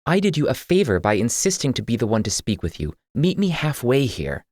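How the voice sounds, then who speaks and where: A man's voice: medium pitch, big pitch swings, brisk, a little mellow, no echo, studio quality, voice pushed up. A man voicing a guarded, duty-bound keeper of keys who reasons firmly but civilly in an audiobook. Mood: exasperated. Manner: defensive, reasoning, restrained. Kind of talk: audiobook character dialogue.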